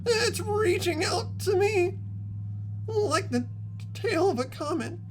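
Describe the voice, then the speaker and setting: A performer voicing a delirious, tearful dying teenage boy in a fan game dub, weak and gasping with drawn-out words. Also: extremely high pitch, lively intonation, faint music behind, exaggerated voice. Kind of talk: fan-dubbed visual novel acting.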